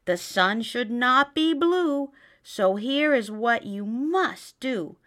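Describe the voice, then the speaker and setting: A woman voicing a fussy, indignant male mouse in a read-aloud storybook, scolding and demanding in a high squeaky voice. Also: lively intonation, slow, fairly bright tone. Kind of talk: storybook character voice.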